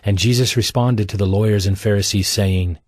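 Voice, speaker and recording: A man's voice: fairly low pitch, steady tone, a little fast, a little mellow, no room sound, clean studio sound. A man narrating scripture from a script for an audio drama.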